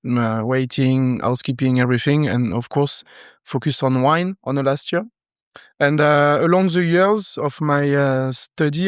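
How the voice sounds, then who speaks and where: A man in his thirties from southern France with a French accent, talking relaxed and thoughtful, pausing often, as a podcast guest. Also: a little high, clean tone, no room sound, clean studio sound. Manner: relaxed, thoughtful, halting with many fillers. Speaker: guest, wine professional. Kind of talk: casual interview talk.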